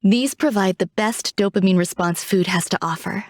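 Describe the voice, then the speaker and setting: Woman acting as a serene female scientist, video game character, aloof, refined, poetic, measured delivery, mid-range pitch, dry close sound. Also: no echo, close to an everyday voice. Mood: serene, reflective. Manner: composed, poetic, measured, coolly detached.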